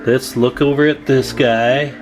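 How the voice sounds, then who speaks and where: A man's voice: mid-range voice, fairly even intonation, a little slow, over music. Man casually talking through hands-on repair. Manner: informal, thinking aloud while working. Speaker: radio repair technician, video host.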